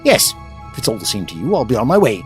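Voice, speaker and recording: An actor playing a flippant, clever time-travelling adventurer in an audio drama, chatty, wry and breezily polite. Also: male voice, medium pitch, wildly swooping pitch, quick pace, faint music behind. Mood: defiant, wry.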